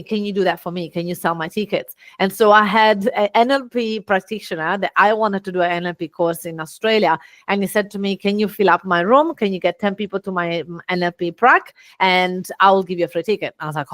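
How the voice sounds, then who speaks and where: A middle-aged woman with an Italian accent, a guest telling lively stories and acting out quoted dialogue. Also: no echo. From Italy. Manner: lively, acts out quoted dialogue with big pitch jumps. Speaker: guest, VA agency founder. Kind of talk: animated storytelling in conversation.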